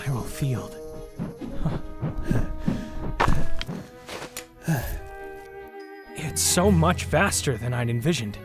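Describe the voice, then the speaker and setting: A man playing an eager, uncertain young hero in a fantasy audio drama, with halting questions. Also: mid-range voice, lively intonation, lightly acted. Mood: wondering. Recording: music under the voice.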